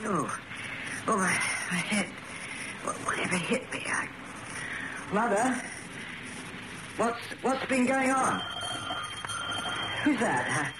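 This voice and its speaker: A man's voice: high voice, very animated, slow pace, pauses often, heavily acted. An actor playing an anxious, well-mannered society hairdresser who stammers and flusters his way through a radio drama. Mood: dazed, groggy. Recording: noisy old radio drama recording.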